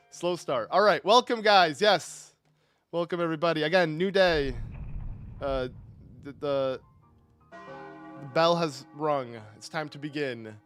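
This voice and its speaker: Bright-voiced young man streaming a game. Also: a little high, smooth, no echo. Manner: rambling, self-correcting, chuckling. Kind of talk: casual live gameplay commentary. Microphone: desk microphone.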